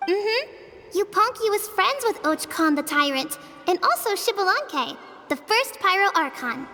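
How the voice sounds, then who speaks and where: Small earnest child in a game, very high voice, bright, matter-of-fact storyteller, reverberant, music behind. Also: female voice, sing-song, measured pace, exaggerated voice. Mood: earnest storytelling. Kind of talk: video game voice acting.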